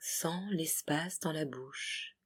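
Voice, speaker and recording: Very slow, breathy yoga nidra guide. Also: medium pitch. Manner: soothing, hushed, with short separated phrases. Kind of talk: guided relaxation meditation.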